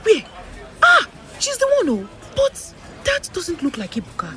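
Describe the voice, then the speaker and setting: An actress playing an expressive, inquisitive young Nigerian woman in an audio drama. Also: extremely high pitch, unhurried, a faint crowd, exaggerated voice. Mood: astonished. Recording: music playing under the voice.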